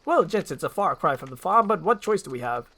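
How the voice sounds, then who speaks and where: A woman's voice: fairly low pitch, very animated, fairly quick, a bit bright, voice pushed up, heavily acted. A woman voicing a small, fussy male mouse with a mock-genteel, chatty manner in an amateur performance. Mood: resigned. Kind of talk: amateur screenplay voice acting.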